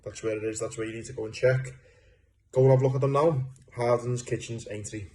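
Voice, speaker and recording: A man's voice: mid-range voice, fairly even intonation, fast talker, warm tone. A man reading a salesy, repetitive sponsor announcement for a podcast.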